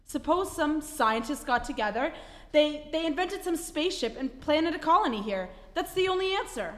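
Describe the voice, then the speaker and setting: Woman doing eager space crew kid, high voice, stammery and earnest, echoey hall radio play. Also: lively intonation, normal speed, a bit bright. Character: eager, excitable young spaceship crew member. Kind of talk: old-time radio play stage acting. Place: theatre stage before an audience. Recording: reverberant theatre hall.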